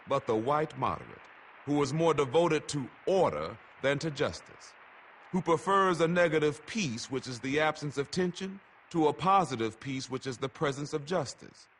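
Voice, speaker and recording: A man with a fairly high voice reads a letter aloud as formal oratory. His delivery is measured and solemn, with firm emphasis. The sound is roomy, with a clear echo around the voice. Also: fairly bright tone. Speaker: reader.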